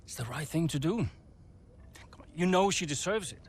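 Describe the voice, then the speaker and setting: An actor playing a tactful, caring racing team boss in a video game drama, sincere and persuasive. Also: male voice, fairly high pitch, lively intonation, moderate pace. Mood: earnest persuasion.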